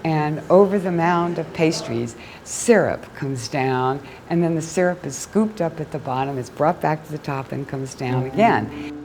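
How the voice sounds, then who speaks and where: A woman's voice: sits low, a little slow, low-quality recording. A woman curator explaining an exhibition in an interview. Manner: explanatory, gallery-tour talk.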